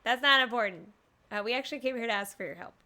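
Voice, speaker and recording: A woman game streamer giving casual live reactions, playful and expressive, talking back to the characters. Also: a little high, racing, noisy background. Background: video game music.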